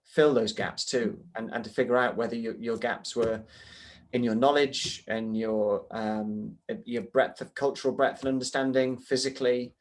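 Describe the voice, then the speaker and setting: A man's voice: medium pitch, fairly even intonation, moderate pace. A middle-aged man from Wales, a martial arts teacher answering interview questions in a rambling way with many ums and restarts.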